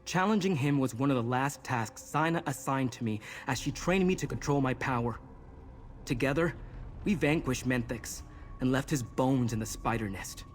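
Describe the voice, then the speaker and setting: An actor playing an earnest, resolute young mage in a video game, explaining things intently. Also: male voice, medium pitch, normal speed, faint music behind, lightly acted. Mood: urgent, resolute. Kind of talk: video game character dialogue.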